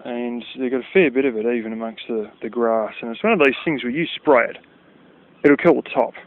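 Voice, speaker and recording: A man with an Australian accent, casually rambling as he walks outdoors by a river, blunt and chatty with offhand swearing. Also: mid-range voice. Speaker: vlogger. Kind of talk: casual walking commentary. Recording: tinny phone-like outdoor recording.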